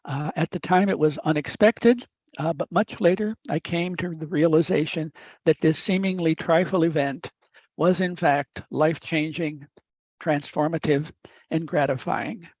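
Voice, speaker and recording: A man in his eighties from the upper Midwest of the United States, whose first language is Italian, telling a story from his life in a measured, deliberate way with frequent "uh" fillers. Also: on the high side, fairly even intonation, darkish tone, slightly rough, no room sound. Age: 80s. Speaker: guest speaker. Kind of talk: reflective storytelling talk. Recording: dull dry lo-fi recording.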